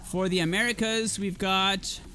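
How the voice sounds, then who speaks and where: A hoarse man in his thirties casting an esports league livestream, chatting casually with viewers while filling time. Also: sits high, fairly even intonation, measured pace, pushed and bright. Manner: casual, chatty, addresses chat.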